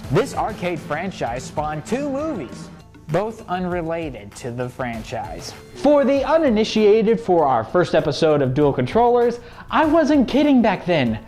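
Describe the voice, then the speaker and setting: A man's voice: sits high, a little mellow. A man co-hosting and narrating a scripted video commentary. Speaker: co-host. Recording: music behind the voice.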